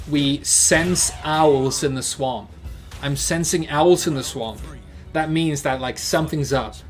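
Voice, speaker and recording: A man from Sweden with a Gothenburg accent, a streamer chatting casually and jokingly with his viewers while he plays. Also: fairly high pitch. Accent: Swedish, Gothenburg. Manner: joking, chatty, slips into mock voices.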